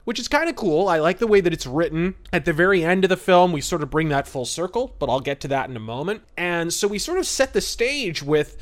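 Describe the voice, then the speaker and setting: A man's voice: sits high, expressive, a little fast, hardly any pauses. A man hosting a podcast and giving conversational, detailed film commentary with a wry manner. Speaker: podcast host.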